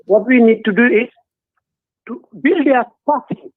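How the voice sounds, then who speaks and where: A man with a strikingly high voice, calling in over a thin, tinny phone line. He talks earnestly and deliberately, stopping often to hesitate as he lays out his points in a political discussion. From Gambia.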